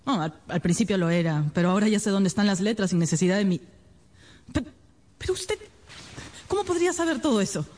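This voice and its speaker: A woman's voice: mid-range voice, lively intonation, brisk, pauses often, a little mellow, natural voice. An actress playing a flustered, indignant young lady client who stammers a little in a radio drama. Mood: polite, startled.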